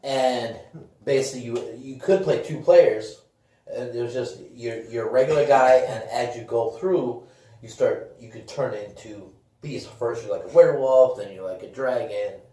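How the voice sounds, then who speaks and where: Distant and echoey, this is a man with a soft, mellow voice. He chats casually as a podcast co-host, rambling along in loose phrases. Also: mid-range voice, normal speed. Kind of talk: casual chat about games and movies. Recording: echoey room, distant from the mic.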